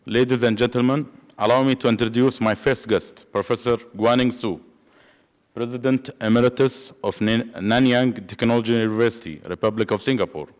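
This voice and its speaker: A man chairing a panel, reading formal, steady prepared remarks. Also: medium pitch, normal speed. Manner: formal, steady, read from a script. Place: large conference hall. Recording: reverberant conference hall.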